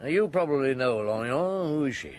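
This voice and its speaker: An actor playing a world-weary, gruff but courteous chief inspector in a radio crime drama, speaking in a ruminative, polite way. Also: male voice, medium pitch, lively intonation, normal speed, a little mellow, a little hiss or hum, close to an everyday voice. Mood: musing, dry.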